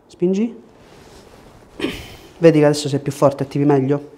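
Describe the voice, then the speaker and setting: Bright-voiced man giving soft-paced clinical instructions. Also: on the high side, moderate pace. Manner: short directive phrases, explanatory. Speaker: chiropractor. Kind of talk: clinical instruction to a patient during treatment. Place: small indoor treatment room. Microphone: lapel mic. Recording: clear background room noise.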